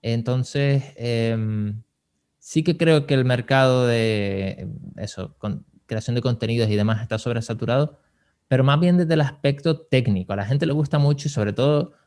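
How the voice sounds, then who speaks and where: A man's voice: medium pitch, normal speed, a little mellow, smooth. A man with a mid-range voice hosts a conversational podcast. He gives advice in an informal, explanatory manner, talking through his points as he goes. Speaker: podcast host and marketing advisor.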